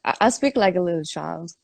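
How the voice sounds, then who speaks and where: A woman's voice: fairly low pitch, creaky, a little mellow, husky, no room sound. A woman, chatting informally as a guest and searching for English words. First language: German. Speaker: guest, tattoo artist. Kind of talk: casual interview chat.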